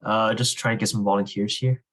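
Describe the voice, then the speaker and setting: A man's voice: on the low side, steady tone, fairly quick, a bit bright. Male reading club leader, casual and encouraging, teaching a group over a video call.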